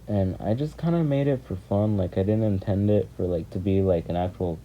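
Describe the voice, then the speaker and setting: A man's voice: sits low, fairly quick. A man talking casually to his viewers as a video creator, rambling hesitantly and often repeating himself. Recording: muffled lo-fi mic with clear background noise.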